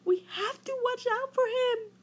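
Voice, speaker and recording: A woman's voice: very high voice, a little slow, pitched above the performer's own voice. A woman voicing an irritable, suspicious male storybook fish who exclaims and accuses in alarm. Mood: wary.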